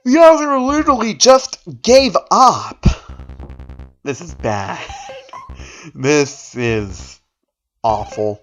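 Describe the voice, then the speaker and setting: A man's voice: fairly high pitch, expressive, very slow, pauses often, fairly bright tone, faint music behind. A man narrating a story aloud in an emphatic, theatrical way, occasionally tripping over a word. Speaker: narrator reading horror fan fiction aloud. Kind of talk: dramatic story reading with amused asides.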